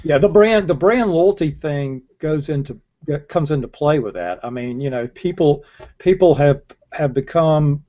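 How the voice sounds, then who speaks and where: Higher-pitched older man, halting delivery. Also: expressive, mellow. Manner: halting, repeats words. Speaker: panelist. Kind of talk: casual panel discussion. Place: indoor room, video call. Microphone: earbud headset on a video call. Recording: dull lo-fi video-call audio.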